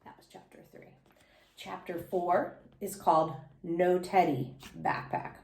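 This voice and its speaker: A woman's voice: on the low side, steady tone, measured pace, a little mellow. A woman reading a children's story aloud expressively, as a teacher does for young listeners. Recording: echoey room.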